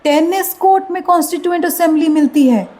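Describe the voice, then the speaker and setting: A curious young female student in a radio play. She has a high voice and an Indian accent, and sounds keen and impressed. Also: brisk, dark tone. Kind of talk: scripted radio play dialogue.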